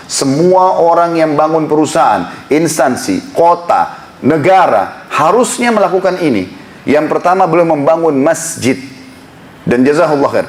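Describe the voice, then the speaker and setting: A man preaching an impassioned sermon to a congregation in a prayer hall, building to emphatic appeals. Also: on the high side. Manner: impassioned, rising to emphatic exhortation. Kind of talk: Islamic sermon lecture.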